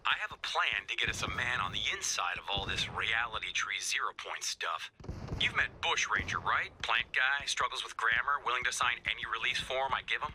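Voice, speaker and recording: An actor playing an anxious, sarcastic video game scientist who rambles in wry asides and feigns calm. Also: male voice, mid-range voice, brisk, a little hiss or hum, rough recording. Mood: wry, playful. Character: anxious, sarcastic, fast-thinking male scientist. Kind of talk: video game character voicelines.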